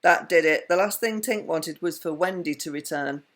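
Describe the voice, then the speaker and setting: An older woman, a grandmother, reading a bedtime story in an animated, affectionate way. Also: sits low, moderate pace.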